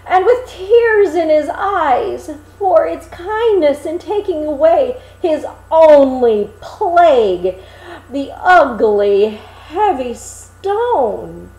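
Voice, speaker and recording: Animated woman storyteller in a roomy space. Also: high voice. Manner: animated, dramatic, deliberate phrasing. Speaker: storybook reader. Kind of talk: expressive fairy tale narration.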